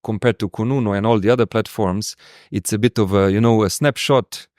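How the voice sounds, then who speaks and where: A man from Slovenia, a podcast guest talking casually in English, pausing often with fillers and restarts. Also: lowish voice, fairly even intonation, a little mellow, dry recording, clean studio sound. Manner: hesitant, many fillers and restarts. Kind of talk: casual interview chat.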